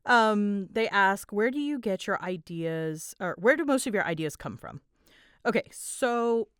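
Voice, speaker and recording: A young adult woman chatting casually as she answers fan questions, with an animated way of retelling things. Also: fairly low pitch, clear, resonant tone, no echo, studio quality. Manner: chatty, animated retelling with quoted asides and giggles. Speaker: audio roleplay creator answering fan questions. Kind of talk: casual Q&A chat.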